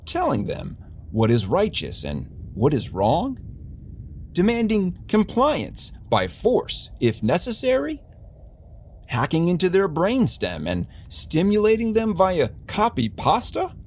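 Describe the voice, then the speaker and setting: A man's voice: a little high, a little mellow, full character voice. A man playing a wry, defiant news host in a dystopian future city, delivering a satirical scripted newscast in a theatrical, mock-grand way. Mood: mock-solemn pitch.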